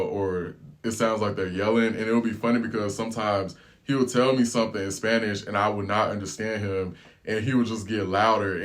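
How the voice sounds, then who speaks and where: An American man vlogging, telling a relaxed, slangy travel story in casual chat. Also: lowish voice. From United States. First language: English.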